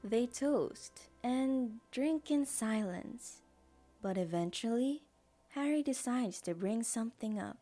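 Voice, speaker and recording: A woman's voice: a little high, lively intonation, slow pace, dark tone, soft background music. A woman reading scripted story narration as the narrator of an audio drama.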